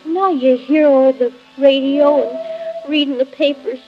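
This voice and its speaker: A woman's voice: high-pitched, steady tone, a little slow. A woman voicing an earnest child pleading in a sentimental recitation on an old country record. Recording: dull vintage 78 rpm record. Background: music.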